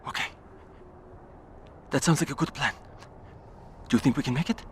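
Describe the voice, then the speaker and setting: A man's voice: mid-range voice, moderate pace, lightly acted. Casting: male actor as worried bookish survivor, questioning and doubtful, audio drama scene with light background noise. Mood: anxious, doubtful. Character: anxious, questioning male linguist survivor following a leader. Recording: faint background ambience.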